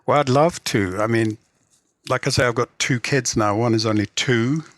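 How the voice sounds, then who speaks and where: A man's voice: mid-range voice, no room sound. A middle-aged man from England, a translator and novelist, talking casually in an interview with many restarts and fillers. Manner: halting, many restarts and "you know" fillers.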